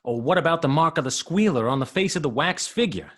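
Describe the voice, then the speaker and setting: An actor playing an eager, jumpy, plain-spoken policeman, a none-too-bright sidekick in an old-time radio drama. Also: a little high, expressive, quick pace. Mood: curious, eager.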